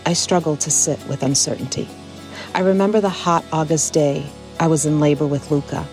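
A woman reading her own personal story aloud in a soft, earnest, reflective way. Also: deep voice, steady tone, fairly quick, faint music behind.

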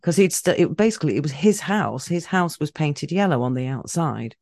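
A woman's voice: low-pitched, lively intonation, moderate pace, darkish tone, dry recording. A woman hosting a radio interview in a casual, chatty way, with many fillers and restarts. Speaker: radio host.

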